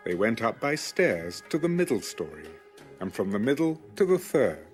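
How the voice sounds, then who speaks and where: Expressive male Bible narrator over soft music. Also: fairly low pitch. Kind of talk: scripted scripture narration.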